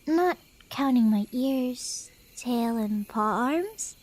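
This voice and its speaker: A very high, unhurried female cat beastkin for an ASMR roleplay. She is playful and clingy, teasing her listener with coy turns of phrase and slipping between soft whispers and speech. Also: animated, noisy background. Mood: teasing relief. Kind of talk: flirty ASMR roleplay acting.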